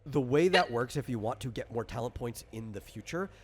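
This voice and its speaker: A man casually explaining tabletop game rules as a game master, in a rambling, halting way that circles back and rephrases. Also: mid-range voice, lively intonation, breathy edge.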